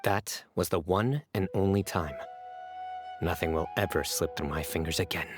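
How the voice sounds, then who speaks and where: A man voicing a stern, stoic young hero in a video game, measured and deadpan with a dry, self-assured wit. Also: low-pitched, over music, close to an everyday voice. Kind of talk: animated video game voice acting.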